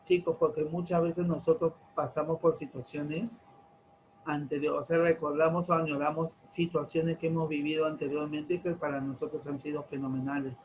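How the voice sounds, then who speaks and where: Deliberate man in his forties, a teacher. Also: a little high, flat delivery, a little hiss or hum, low-quality recording. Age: 40s. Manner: slow and deliberate, stretching out key terms, many rhetorical questions. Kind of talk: classroom lecture to teenagers.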